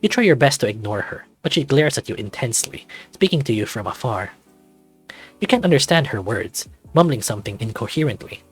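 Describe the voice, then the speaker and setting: A man's voice: medium pitch, very animated, a little fast, breathy edge, faint music behind. A man narrating a scripted second-person story as a visual novel reader.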